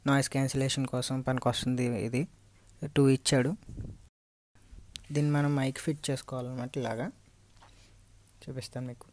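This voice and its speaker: A man's voice: medium pitch, little pitch movement, normal speed, long pauses, darkish tone. A man with a Telugu accent giving an unscripted, step-by-step hands-on tutorial as a vlogger. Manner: explanatory, step-by-step. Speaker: vlogger reviewing and installing gear.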